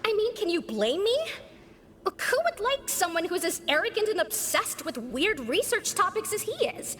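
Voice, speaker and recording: High-voiced, prim, sharp-tongued lady. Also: sing-song, a little slow, long pauses, full character voice. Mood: indignant. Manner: formal, lecturing. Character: prim, sharp-tongued female infirmary patient, easily irked. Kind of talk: video game dialogue. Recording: long reverb, faint background noise.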